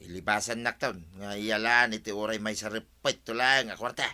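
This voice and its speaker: A man's voice: on the low side, fairly even intonation, moderate pace, bright, forceful tone, smooth. A man with an Ilocano accent playing a grumbling, scheming village husband in a comedy radio drama, complaining and exclaiming.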